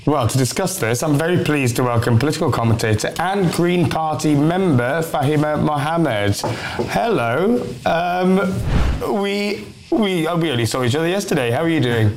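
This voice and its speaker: A man's voice: fairly high pitch, expressive, normal speed, slight background noise. A man presenting a live news show, joking and laughing as he reads viewer comments. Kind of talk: light-hearted live news chat.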